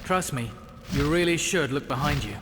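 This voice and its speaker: A man voices a cautioning game character, fairly high in pitch. He speaks in measured, articulate phrases with long reverb and music behind. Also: animated, lightly acted. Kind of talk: video game character acting.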